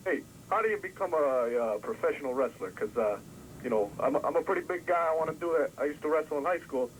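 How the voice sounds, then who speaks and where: A man's voice: fairly high pitch, animated, fast. A man prank calling a live show over the phone, casual and feigning sincerity. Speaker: prank caller. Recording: noisy phone line on old TV tape.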